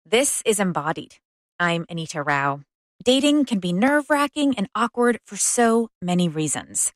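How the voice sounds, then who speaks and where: A woman's voice: mid-range voice, big pitch swings, moderate pace, no room sound. An American woman hosts a public radio interview with polished, articulate phrasing. Her voice is recorded very dry, as in a studio, with no room sound around it. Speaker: radio show host.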